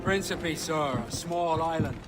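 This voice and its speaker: An actor playing a haughty turncoat gentleman villain in a video game, measured and condescending. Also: on the high side, close to an everyday voice. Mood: deferential. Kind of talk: video game cutscene acting. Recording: music under the voice.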